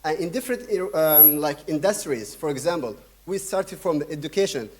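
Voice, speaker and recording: Young male keynote speaker from Sudan, slightly high voice, hesitant delivery full of fillers. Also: expressive, a little mellow. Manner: halting, many fillers and restarts. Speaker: conference speaker. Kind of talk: conference keynote talk.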